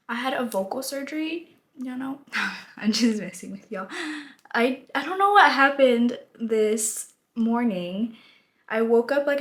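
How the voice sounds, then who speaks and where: A woman in her twenties, her voice raspy from a lost voice, chatting casually while doing her makeup, laughing often. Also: fairly high pitch. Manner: chatty, rambling, laughs often, says y'all. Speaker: beauty vlogger. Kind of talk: casual get-ready-with-me chat.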